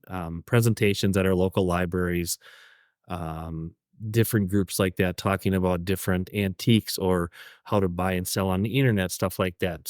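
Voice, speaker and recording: A man who runs an antique shop, co-hosting a podcast and telling a story in a relaxed, halting way. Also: fairly low pitch, dry recording, clean studio sound. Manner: relaxed, hesitant, many ums. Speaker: podcast co-host, antique dealer. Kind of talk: casual storytelling chat.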